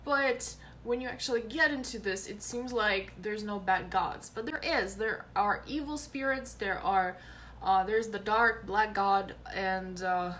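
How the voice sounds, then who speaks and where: A woman's voice: medium pitch, unhurried, a bit bright, a little hiss or hum. A young woman whose first language is Ukrainian, chatting to her phone camera in a small bedroom and rambling with many fillers. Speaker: vlogger. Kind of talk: casual chat to camera. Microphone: phone camera close up.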